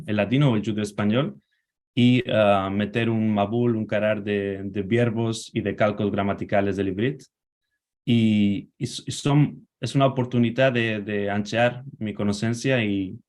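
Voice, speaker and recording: A man from Spain answering interview questions over a video call, speaking in a measured, halting way and correcting himself as he goes. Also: on the low side. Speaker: guest.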